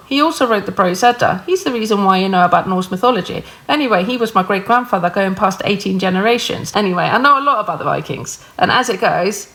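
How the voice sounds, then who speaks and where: A woman from Iceland addresses the camera quickly, lecturing in a pointed, matter-of-fact way. Her words come fast, with a middle pitch. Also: creaky, fairly bright tone, low-quality recording. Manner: pointed, schooling tone. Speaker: TikTok creator replying. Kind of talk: direct-to-camera explanation.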